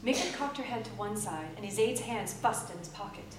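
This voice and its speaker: A woman giving a dramatic, deliberate reading of a scripted story live on a theatre stage. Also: mid-range voice, expressive. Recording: roomy live theatre, distant, noisy.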